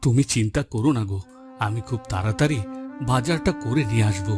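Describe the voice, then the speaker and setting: A man's voice: mid-range voice, fairly quick, close to an everyday voice. A man playing a humble, hardworking village fisherman and husband in a cartoon, talking in a plain, homely, reassuring way. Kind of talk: Bengali moral-story cartoon dubbing. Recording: loud music bed behind the voice.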